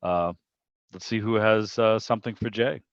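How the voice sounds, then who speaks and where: Low-voiced man hosting, roomy muffled sound. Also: steady tone, normal speed. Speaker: host of a club meeting. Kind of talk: informal interview, conversational and reflective. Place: online video meeting. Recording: dull, roomy video call audio.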